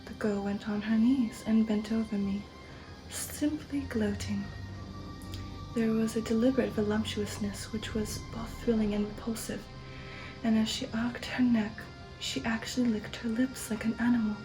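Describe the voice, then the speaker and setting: Whispering woman reading softly over music. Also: on the high side, steady tone, moderate pace, low-quality recording. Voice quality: breathy, soft whisper. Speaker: ASMR host and narrator. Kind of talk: whispered ASMR audiobook reading. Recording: music under the voice.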